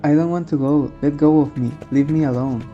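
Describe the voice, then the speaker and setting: A woman's voice: low voice, fairly even intonation, fairly quick, lightly acted. A woman playing a troubled, withdrawn schoolboy haunted by nightmares in an amateur radio drama. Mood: terrified. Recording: dull muffled microphone with music underneath.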